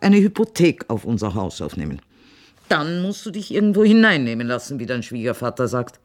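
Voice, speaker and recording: An actress playing an imperious, sharp-tongued old Viennese mother in a radio play, haughty and deliberate. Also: low voice. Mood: sardonic, disapproving. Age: older. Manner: haughty, deliberate, probing with curt questions. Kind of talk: radio drama acting.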